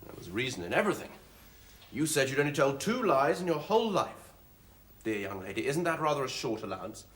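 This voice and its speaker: A man playing a pragmatic, gallant army captain in a stage comedy, speaking in a wry, gently teasing, matter-of-fact way. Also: medium pitch, very animated, fairly quick. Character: dry, pragmatic soldier captain, amused and gallant.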